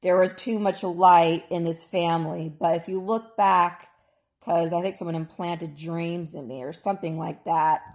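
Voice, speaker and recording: A woman in her mid-thirties speaks in a hoarse, lowish voice. She delivers a digressive, opinionated personal monologue in an informal way. The recording is noticeably echoey. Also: fairly even intonation, a little slow, a little mellow. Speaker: vlogger.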